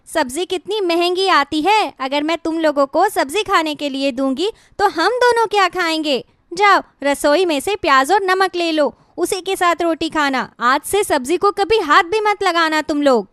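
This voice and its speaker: Lady doing a mean bossy young bahu for a cartoon, high voice, scolds everyone. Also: expressive, normal speed, few pauses, fairly bright tone, no room sound, studio quality. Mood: haughty, scolding. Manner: haughty, scolding. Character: cruel, bossy young daughter-in-law bullying her in-laws. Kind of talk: Hindi cartoon moral-story dialogue.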